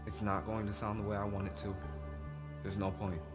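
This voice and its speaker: A man's voice: lowish voice, level tone, brisk, close to an everyday voice. An actor playing a withdrawn, defensive man who speaks curtly and dismissively in naturalistic stage-play dialogue. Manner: curt, dismissive. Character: Ross, sullen, defensive, withdrawn former academic man. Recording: long hall reverb with music behind.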